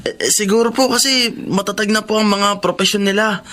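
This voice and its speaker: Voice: medium pitch, fairly even intonation, normal speed, sharp tone, lightly acted. A performer voicing a cheerful young man, the eldest son, in a radio drama. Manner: cheerful, laughing often.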